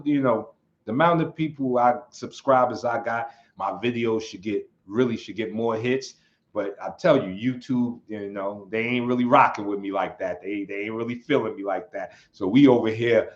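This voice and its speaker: A man hosting his own livestream in a relaxed, rambling way. He chuckles often and reads chat comments aloud. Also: medium pitch. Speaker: channel host. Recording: slightly dull lo-fi sound.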